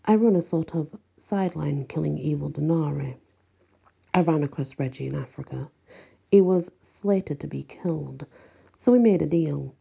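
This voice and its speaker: A woman reading an audiobook, voicing a calm, protective man who gives orders and reassurance. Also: low-pitched, normal speed, creaky, breathy edge, heavily acted. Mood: matter-of-fact. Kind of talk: audiobook character voice.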